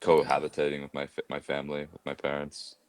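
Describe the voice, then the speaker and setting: A man's voice: a bass voice, completely flat delivery, fast talker, no echo. A Canadian man in his thirties with a Midwestern accent, chatting casually as a guest and thinking aloud with lots of hesitant fillers. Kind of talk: casual conversation.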